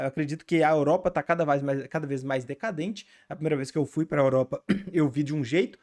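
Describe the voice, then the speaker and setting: A young Brazilian man hosting a reaction video, chatting casually and excitably with frequent filler tags. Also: on the high side. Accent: Brazilian Portuguese.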